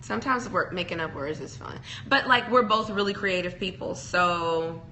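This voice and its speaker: Young woman, bright tense voice, lowish pitch, giggly rambling chat, faint hiss behind. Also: normal speed, rough recording. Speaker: podcast co-host. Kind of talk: casual couple chat.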